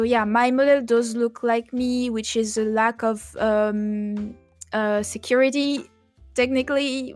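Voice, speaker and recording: High-voiced woman, halting casual chat. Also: a little mellow. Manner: halting, repeats words and restarts often. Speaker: VTuber, podcast host. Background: background music.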